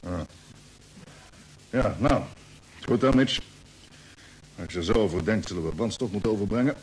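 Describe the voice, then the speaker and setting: Acteur als bezorgde ruimtecommandant, ruisende opname. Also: male voice, fairly low pitch, animated, moderate pace, natural voice. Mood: decisive. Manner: questioning, authoritative. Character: concerned, decisive male spaceship fleet commander. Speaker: radio drama actor. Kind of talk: Dutch radio play acting. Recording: noisy old radio drama recording.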